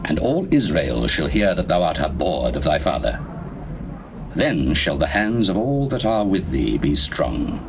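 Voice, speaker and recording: An actor playing a grave, scheming biblical counsellor with a very deep voice and measured, commanding delivery, on a noisy old tape. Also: male voice, sing-song, exaggerated voice. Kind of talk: dramatized scripture, stage-trained delivery.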